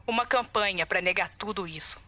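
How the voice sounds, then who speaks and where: Video game audio log: woman playing anxious, determined female tester, Brazilian, bright tense tone, confiding and urgent delivery. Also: medium pitch, brisk, natural voice. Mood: indignant. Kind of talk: recorded audio-log monologue, video game fan dub.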